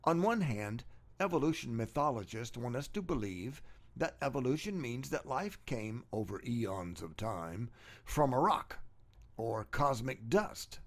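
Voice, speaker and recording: Man reading polemically with very animated pitch. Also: a little slow. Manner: rhetorical questions, sarcastic emphasis. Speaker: narrator reading own book chapter. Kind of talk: emphatic, argumentative audiobook-style reading.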